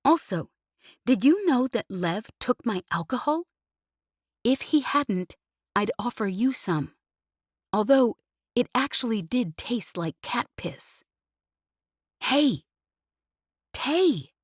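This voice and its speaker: A woman voices a cheeky young pilot in an audiobook, pitched noticeably higher than the narrator's own voice. She is relentless and teasing, and the reading is slow and deliberate in a dry, close-miked space. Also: very animated, breathy edge, no room sound, exaggerated voice.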